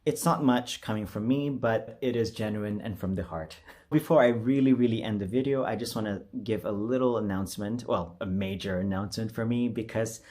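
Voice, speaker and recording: A man's voice: on the low side, brisk, darkish tone. A young man talking casually to his viewers as a voice-acting creator, in a rambling, self-deprecating way. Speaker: voice actor and video creator.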